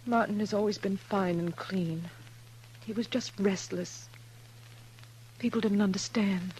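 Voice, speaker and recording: Actress as dignified Old West sister, proud and loyal. Medium pitch, restrained period radio acting, faint hum on the recording. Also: female voice, fairly even intonation, measured pace, darkish tone, lightly acted, rough recording. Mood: stricken, dignified. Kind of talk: 1950s radio western acting.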